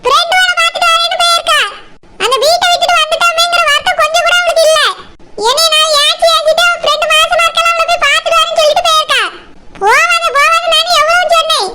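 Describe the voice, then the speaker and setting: A woman's voice: very high-pitched, fairly even intonation, heavy background noise. A woman voicing a stubborn, nagging middle-aged village mother in an amateur cartoon, with a Kanyakumari Tamil accent. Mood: accusing. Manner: nagging, accusatory, rapid complaints.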